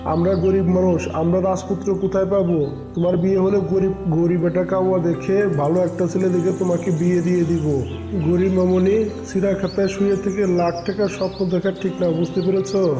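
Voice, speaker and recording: A man voicing a plain-spoken, gently reproving adult bird in a cartoon. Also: high voice, level tone, normal speed, natural voice. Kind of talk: cartoon character dialogue. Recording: echoey room with clear music behind.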